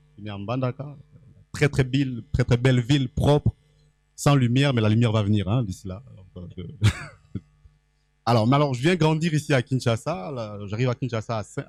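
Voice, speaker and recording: A man's voice: medium pitch, normal speed, a little mellow, no echo. Male panel guest from Équateur, rambling and self-deprecating, dry mic. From Équateur province, DR Congo. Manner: chatty, self-deprecating, many fillers and restarts. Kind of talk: public panel talk. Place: event hall stage. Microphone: handheld microphone.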